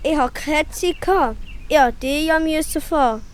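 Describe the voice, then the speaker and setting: Woman playing high, childlike little forest boy Hops. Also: steady tone, a little slow, slight background noise. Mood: eager, childlike. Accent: Swiss German. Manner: childlike, questioning. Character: Hops, small naive male forest animal child. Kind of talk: children's radio play acting.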